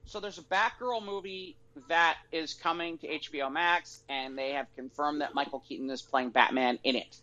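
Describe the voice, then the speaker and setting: A man's voice: a little high, moderate pace, hardly any pauses, pushed and bright, lo-fi sound. A man co-hosting a casual podcast chat, joking and riffing on news items. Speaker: podcast co-host.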